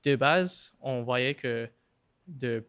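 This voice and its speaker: A young man whose first language is English talks in French with an English accent, pausing often and borrowing English words. His voice is clear and smooth at a middle pitch, recorded dry and close. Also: a bit bright, no echo. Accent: English-speaking. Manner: halting, mixes in English words. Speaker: podcast guest. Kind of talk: casual interview.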